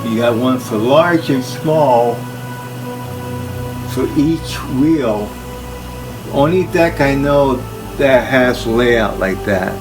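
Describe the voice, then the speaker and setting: An older man gives a casual, unscripted tour of equipment at a slow pace. His voice is medium in pitch, and his delivery is plain and halting, with trailing phrases. Music is clearly audible behind him. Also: expressive. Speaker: collector presenting his tape machines. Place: indoor room full of audio gear.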